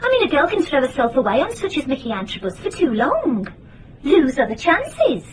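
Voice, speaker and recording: An actress playing a fed-up, flighty young British office secretary in radio drama, breezy and petulant. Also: female voice, sits high, huge pitch swings, normal speed, slight background noise. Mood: fed up, envious. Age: young adult.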